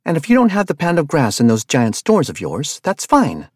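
Storybook: hot-headed woman sellsword, voiced by a man, brash and yelling, wildly swinging pitch. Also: big pitch swings, fairly quick, dry recording, studio quality. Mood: furious. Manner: yelling insults, brash and loud. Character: brash foul-mouthed woman mercenary, hot-tempered.

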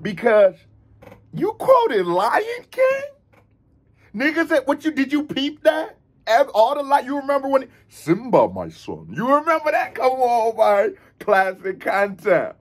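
A young American man giving casual reaction commentary as a host, in an animated, slangy, repetitive way with a husky voice. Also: very high voice, unhurried. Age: young adult. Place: indoors, room with unfinished basement ceiling.

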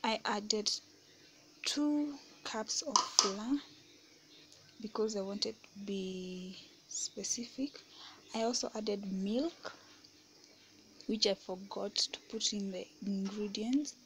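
A woman narrating her home baking video in a relaxed, soft-spoken way, often half-whispering. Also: mid-range voice, slow, pauses often, background noise. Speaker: baking vlogger. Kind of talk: casual kitchen voice-over. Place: home kitchen.

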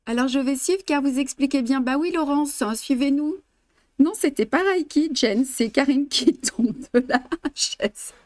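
French lady in her 50s teaching embroidery live, pretty high voice, laughs a lot, mixes english and spanish. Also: a little slow, no echo. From France. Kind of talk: live-streamed stitching lesson. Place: indoor studio, blue backdrop.

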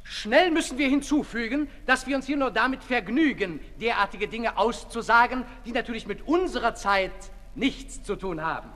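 An actor playing an ironic theatrical herald who announces scenes with arch formality in an old radio play. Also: male voice, very high voice, animated, normal speed, hardly any pauses, fairly bright tone, slight background noise. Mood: arch ceremony. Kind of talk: 1960s German radio play acting. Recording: 1960s radio recording, reverberant hall. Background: prominent music.

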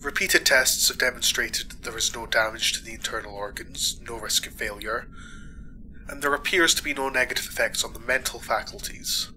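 A man playing a reassuring space-hospital doctor in a sci-fi audio roleplay, formal, measured and clinical. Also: mid-range voice, bright, forceful tone, slight background noise, low-quality recording. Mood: professional, reassuring. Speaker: voice actor.

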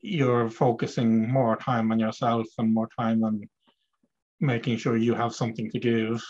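A middle-aged man hosting a coaching webinar, asking calm, measured questions of a client on a video call. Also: mid-range voice. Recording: dull lo-fi video call audio.

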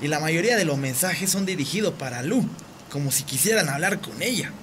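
A man's voice: a little high, expressive, brisk, background noise, lightly acted. A man with a Bolivian accent playing a principled, earnest teenage boy in a radio drama. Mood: concerned, eager.

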